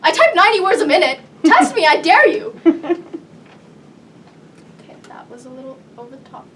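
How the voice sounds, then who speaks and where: Woman acting a high-voiced, flustered job seeker. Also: measured pace, warm tone. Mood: flustered, defensive. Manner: rambling, nervous, self-correcting with awkward laughter. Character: flustered, over-sharing thirty-year-old woman at a job interview. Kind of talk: live theatrical comic monologue. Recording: distant stage mic with background noise.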